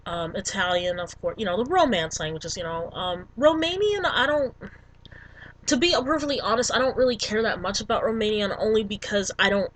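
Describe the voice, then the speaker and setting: A woman's voice: fairly low pitch, slight background noise, low-quality recording. A young adult woman talking casually to camera as a language vlogger, rambling with many ums and likes. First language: English.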